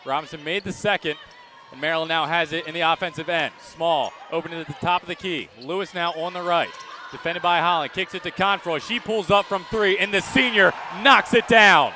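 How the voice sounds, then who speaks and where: A male radio sportscaster calls live play-by-play in a high, forceful voice. He projects energetically, often pushing toward a shout, with faint game noise around him. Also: a little fast. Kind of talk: live play-by-play commentary of college games. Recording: clear live sports venue background noise.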